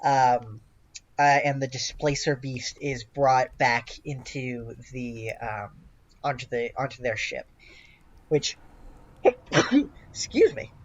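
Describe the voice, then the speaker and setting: A game master narrates a tabletop session off the cuff. The delivery is halting and hesitant, with a mid-range pitch. The recording is dull and a little lo-fi. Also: lively intonation.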